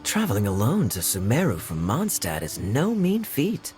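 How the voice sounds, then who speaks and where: A suave, teasing male captain with a medium pitch that swings dramatically. He sounds wry and sly, with game music behind him. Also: wildly swooping pitch, normal speed, a little mellow. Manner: smooth, wry, theatrical. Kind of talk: video game cutscene acting.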